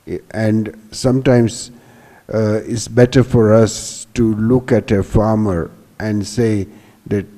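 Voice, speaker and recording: A man in his sixties speaks as a business panelist in a low, dark, relaxed voice. He explains step by step in a halting manner, often restarting words, into a handheld microphone. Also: big-room sound. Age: 60s. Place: hall stage before a seated audience. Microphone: handheld microphone held at the chest.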